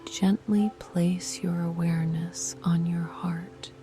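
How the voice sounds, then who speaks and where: Slow, whispering woman guiding meditation. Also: fairly low pitch, fairly even intonation. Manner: soft, slow and soothing. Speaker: meditation guide. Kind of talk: guided meditation. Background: music.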